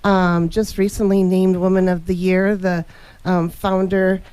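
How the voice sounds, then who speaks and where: A woman's voice: on the low side, little pitch movement, measured pace, dark tone, clear, resonant tone, no echo. A woman hosting a weekly radio talk show, chatty and upbeat as she welcomes guests and thanks sponsors. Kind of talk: casual radio interview. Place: radio studio.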